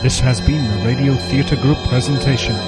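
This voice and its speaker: Scottish male announcer, formal sign-off, loud music bed. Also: medium pitch, fairly even intonation, a little fast.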